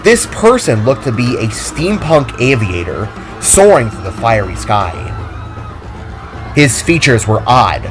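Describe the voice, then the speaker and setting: Animated male narrator over soft music. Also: mid-range voice, measured pace, rough recording. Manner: animated, expressive storytelling. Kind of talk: dramatic reading of an action story. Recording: music under the reading.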